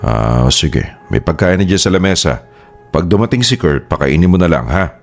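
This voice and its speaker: A man playing a middle-aged father who was once stern and has since softened toward his sons, speaking gruffly but gently in a radio drama. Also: deep voice, expressive, moderate pace, faint music behind. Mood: calm.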